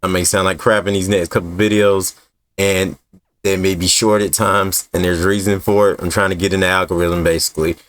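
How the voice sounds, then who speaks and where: A man's voice: sits low, flat delivery, brisk, a bit bright. A man hosting a gaming channel, giving casual, informal, rambling commentary.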